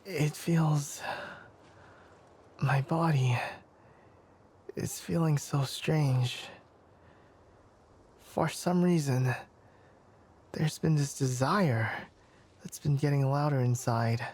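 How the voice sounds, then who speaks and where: A man playing a flustered, formal young fox-eared forest scholar in an intimate ASMR anime roleplay, hesitant and stammering, often slipping into a breathy whisper. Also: on the high side, extremely slow, pauses often, a little mellow, exaggerated voice. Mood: breathless, yearning.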